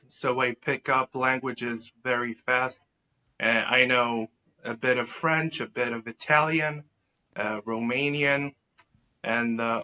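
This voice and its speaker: A man from Israel, a native Hebrew speaker, talks as an interview guest. His voice is bright and forceful, with little melodic movement. He uses formal, wordy phrasing and corrects himself as he goes, through slightly muffled, lo-fi audio. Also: medium pitch. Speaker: podcast guest. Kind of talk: conversational interview answers. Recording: dull lo-fi sound.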